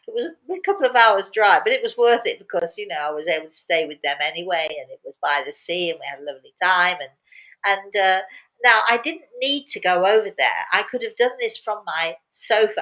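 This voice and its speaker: Older female medium, mid-pitched, chatty storyteller who leans on key words by repeating them. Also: fairly bright tone, rough recording. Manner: emphatic, repeats words for emphasis. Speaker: spiritual medium telling stories and answering questions. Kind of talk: casual storytelling talk.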